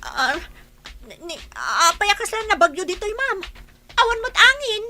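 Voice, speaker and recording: A woman's voice: extremely high pitch, sing-song, moderate pace, pushed and bright, heavily acted. A woman acting a nosy, indignant housemaid in a comedy radio drama, with an Ilocano Filipino accent. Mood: complaining. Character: nosy, indignant housemaid, loyal to her employer.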